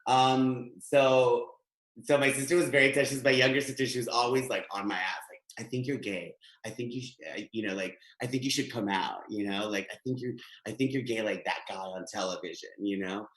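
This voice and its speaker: A man in his thirties from Guatemala, doing a chatty, animated stand-up set over a webcam from his home. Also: mid-range voice, brisk. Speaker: stand-up comedian. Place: home room. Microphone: webcam, video call. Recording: echoey home room.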